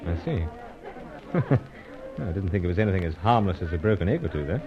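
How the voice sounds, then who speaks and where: An actor playing an unflappable British secret agent in a radio adventure serial, with a clipped, understated delivery. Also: male voice, low voice, lively intonation, a little fast, some chatter behind. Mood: dryly probing. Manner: clipped sentences with dropped words, understated. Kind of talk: old-time radio adventure serial acting and narration. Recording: dull, noisy old radio recording.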